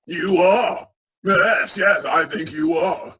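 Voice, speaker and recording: A woman playing an old male miser turned giddy and generous in a radio play, with a raspy, emphatic theatrical delivery. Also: fairly low pitch, slow, pushed and bright, exaggerated voice. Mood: mock stern.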